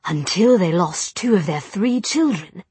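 A woman's voice: on the low side, expressive, a little slow, warm tone, slightly rough, dry recording, exaggerated voice. A woman voicing an amused, worldly male warlock in an audiobook, speaking in a wry and knowing way. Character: immortal male warlock, amused, worldly, sardonic dinner guest. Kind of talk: audiobook character voice.

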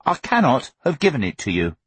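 A man's voice: high voice, big pitch swings, normal speed, breathy edge, no echo, voice pushed up, exaggerated voice. A man voicing an indignant young schoolboy who argues his innocence in a pompous, grand way for a storybook. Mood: grand reasoning.

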